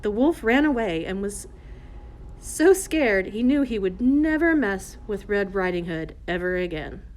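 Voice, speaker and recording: A woman's voice: medium pitch, lively intonation, unhurried, a little mellow. A woman narrating a scripted fairy tale for children as the storyteller. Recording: faint background noise.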